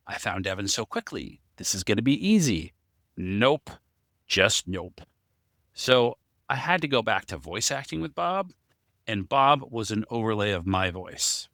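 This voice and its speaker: Bright, low-pitched man chatting on a podcast. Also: no room sound, studio quality. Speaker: podcast co-host, story writer and amateur voice actor. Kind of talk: casual podcast discussion.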